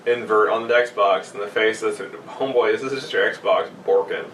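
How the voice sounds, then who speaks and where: A man's voice: fairly low pitch, steady tone, moderate pace, a bit bright, far from the mic. A man co-hosting a podcast, joking and giggly as he chats casually and reads stories aloud. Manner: joking, giggly, interjects often. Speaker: podcast co-host. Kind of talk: casual banter and creepypasta reading. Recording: roomy, off-mic, noisy background.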